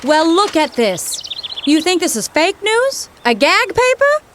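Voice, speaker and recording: A woman plays a worldly, practical young heroine with a high, very animated voice. She is brisk and a little bossy in a period audio comedy style, over faint background noise. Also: heavily acted. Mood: insistent.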